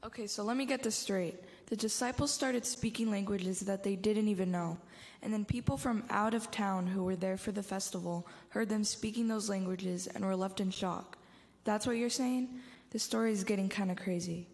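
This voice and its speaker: A woman's voice: mid-range voice, steady tone, normal speed, a little mellow, natural voice. A female playing a curious girl in a reverberant hall. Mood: curious, firm. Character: curious, no-nonsense girl trying to follow the story. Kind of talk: youth church reader's theater.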